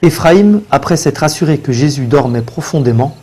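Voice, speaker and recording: A man's voice: on the high side, animated, moderate pace, darkish tone, slightly rough. A man giving scripted story narration as the narrator of a religious cartoon. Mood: solemn narration.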